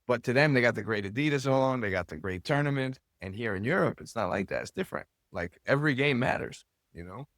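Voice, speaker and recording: A man's voice: mid-range voice, lively intonation, quick pace, few pauses, a bit bright, no echo, studio quality. An American man talking candidly and colloquially as a podcast guest, telling stories casually and swearing now and then. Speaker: podcast guest, soccer club owner and coach. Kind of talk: casual storytelling in an interview.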